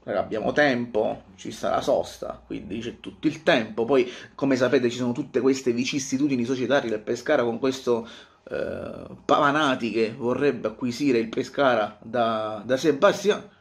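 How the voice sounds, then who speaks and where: A man in his thirties with a mid-pitched voice speaks casually to his viewers like a devoted sports fan. His delivery is theatrical and animated, and he repeats phrases for emphasis. He records at home in a small room. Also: moderate pace. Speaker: football fan vlogger. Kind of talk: casual fan vlog to camera.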